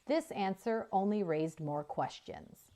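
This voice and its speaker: A woman's voice: mid-range voice, expressive, slow pace, dark tone. A woman narrating a children's book as a scripted read-aloud. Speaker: storybook narrator.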